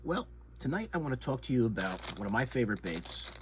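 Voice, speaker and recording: A man's voice: medium pitch, big pitch swings, fast. A man hosting his own fishing channel, talking casually and a bit nasally through a head cold, rambling and repeating himself with lots of ums. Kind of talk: casual show-and-tell chat. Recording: noise with crinkling plastic bags. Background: crinkling soft-plastic bait bags.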